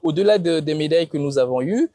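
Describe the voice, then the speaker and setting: A man's voice: on the high side, lively intonation, quick pace, warm tone, dry recording. A middle-aged man with a Congolese French accent, a former sports federation president giving an interview, hesitant with many fillers and restarts. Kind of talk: conversational interview.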